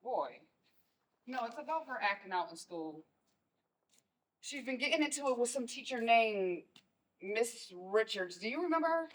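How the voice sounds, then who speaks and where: A woman's voice: on the high side, expressive, moderate pace, pauses often, poor audio. A woman playing a stern, protective mother in a stage play, scolding and pressing with pointed questions. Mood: exasperated. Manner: forceful, scolding, rhetorical questions.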